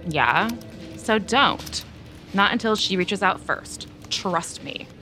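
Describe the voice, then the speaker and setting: An actress playing a breezy, self-absorbed young office gossip in an audio drama, gushing and slangy with drawn-out emphasis. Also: female voice, medium pitch, lively intonation, sharp tone, faint music behind. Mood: breezy advice. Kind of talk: scripted audio drama acting.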